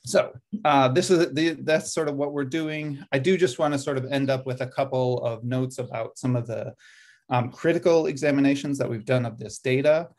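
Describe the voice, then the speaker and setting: A middle-aged man presents over a video call, his voice sitting at an ordinary middle pitch. He talks in loose, thinking-aloud sentences, often correcting himself and filling gaps with um. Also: moderate pace, a little mellow. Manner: thinking aloud, frequent ums and self-corrections. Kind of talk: presentation over shared slides. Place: indoor room at a desk.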